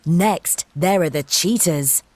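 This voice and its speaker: A woman reading a scripted storybook for young learners, with deliberate, simple phrasing. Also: lowish voice, a little mellow, no echo. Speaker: audiobook narrator for young learners. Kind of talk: scripted children's storybook narration.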